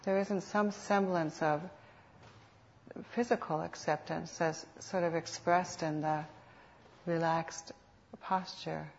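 A woman teaching guided meditation in an unhurried, soothing manner with reflective pauses, on a dull lo-fi recording. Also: on the low side, fairly even intonation, slight background noise. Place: meditation center. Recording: dull, lo-fi live talk recording.

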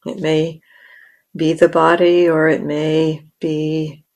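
A woman meditation teacher leading a guided meditation in short, measured phrases with long pauses. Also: deep voice, flat delivery, a little mellow.

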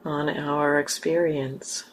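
A woman leading a guided meditation as a teacher, speaking gently and unhurriedly with long pauses. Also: low voice, level tone, slight background noise.

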